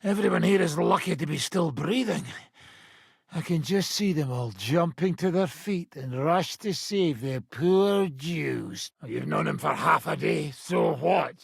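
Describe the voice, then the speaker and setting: Gravelly, raspy old mercenary captain. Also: male voice, on the high side, animated, unhurried, no echo, clean studio sound, exaggerated voice. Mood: sardonic. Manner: blunt, sardonic, commanding, swearing. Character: gruff, foul-mouthed ageing medieval mercenary band leader. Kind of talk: video game voice acting.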